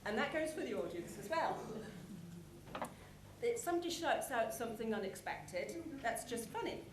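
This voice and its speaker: A woman giving a prepared conference presentation, explaining things in measured phrases with deliberate pauses. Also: fairly high pitch, big pitch swings. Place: large conference hall with an audience. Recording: echoey conference hall, clear background noise.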